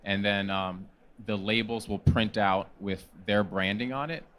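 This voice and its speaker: A man with a fairly low voice speaks on a trade-show panel. He explains an idea with energetic, salesy enthusiasm. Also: unhurried. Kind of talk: panel discussion.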